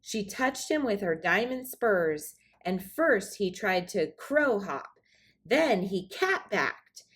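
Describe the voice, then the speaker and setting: A woman reading a children's story aloud in an expressive, dramatic storytelling manner. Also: mid-range voice, slow. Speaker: storybook reader.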